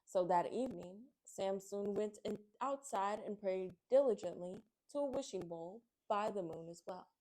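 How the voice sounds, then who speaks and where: A woman's voice: medium pitch, moderate pace, few pauses, darkish tone, poor audio. A woman hosting a children's read-aloud, addressing listeners as friends and reading picture books expressively.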